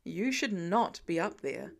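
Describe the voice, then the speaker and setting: A woman's voice: fairly low pitch, very animated, measured pace, vocal fry, darkish tone, pitched below the performer's own voice, heavily acted. A woman reads the part of a protective family man in an audiobook-style story. He is dry and insistent, a teasing husband and dad who fusses over the people he loves. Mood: stern. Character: protective, bantering husband and father, adult man.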